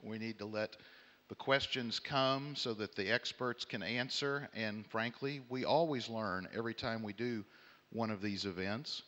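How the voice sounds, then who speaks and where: A middle-aged American man with a Southern accent and a fairly low voice moderates a panel. He speaks to the audience in a measured, courtly manner with dry wit. His voice rings through a large hall with long reverb. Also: sharp tone. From Houston, Texas, United States. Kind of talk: conference opening remarks to an audience.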